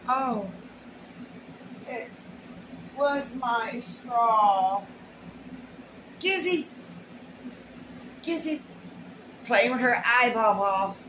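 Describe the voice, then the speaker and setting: A woman's voice: on the high side, expressive, extremely slow, long pauses. A middle-aged woman chatting casually and colloquially in a folksy way while unboxing purchases in a room at home. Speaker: haul vlogger. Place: small room at home, indoors. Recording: noisy home camera recording.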